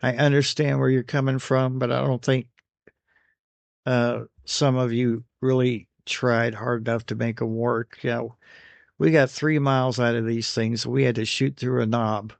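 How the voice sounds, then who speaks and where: An American man recording an unscripted product review voice-over, speaking in a halting way with pauses between phrases. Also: mid-range voice, little pitch movement, gravelly, no room sound. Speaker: radio gear reviewer.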